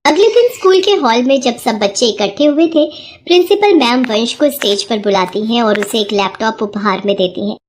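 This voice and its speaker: A woman narrating a children's moral story in an even storybook manner. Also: on the high side, normal speed, a little hiss or hum.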